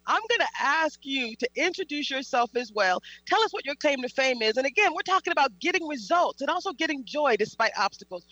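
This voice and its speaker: A woman's voice: high voice, bright, forceful tone, no room sound, highs cut off. A woman hosting an upbeat talk show, speaking in an enthusiastic, effusive way. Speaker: show host.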